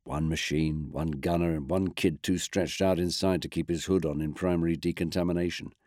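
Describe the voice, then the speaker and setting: A man's voice: low voice, steady tone, fairly quick, darkish tone, no echo, studio quality, lightly acted. A man voicing a weary, blunt squadron commander.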